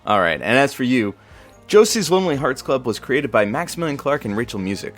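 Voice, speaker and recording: A man's voice: mid-range voice, very animated, fairly quick, soft background music, natural voice. A man playing an affable radio show producer in an audio drama, casual and teasing in his delivery. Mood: friendly teasing. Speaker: radio show sidekick and announcer. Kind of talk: audio drama banter and credits.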